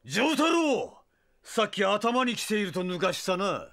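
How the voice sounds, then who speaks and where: High, gloating immortal vampire villain. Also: male voice, sing-song, vocal fry, fairly bright tone, full character voice. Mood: scornful. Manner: theatrical, sneering, drawn-out words, maniacal. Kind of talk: anime audio drama acting. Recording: long reverb.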